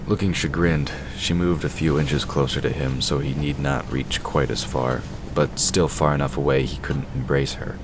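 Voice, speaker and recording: An extremely low-pitched man narrates a scripted fantasy story as the narrator of an audio drama. There is clear noise on the hobby recording. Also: level tone, moderate pace, few pauses.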